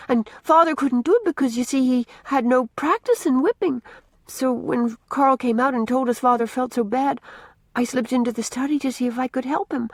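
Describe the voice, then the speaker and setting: A woman's voice: on the high side, slightly rough, no room sound, voice pushed up. A woman reader voicing an earnest, anxious little girl who pleads and begs to please. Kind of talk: audiobook character reading.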